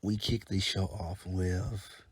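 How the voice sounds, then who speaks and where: A man's voice: low voice, flat delivery, takes it slowly, slight background noise. A man casually reviewing a wrestling show, congested from a head cold, rambling with lots of ums and the odd swear. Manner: laid-back, rambling, frequent ums and uhs, swears casually.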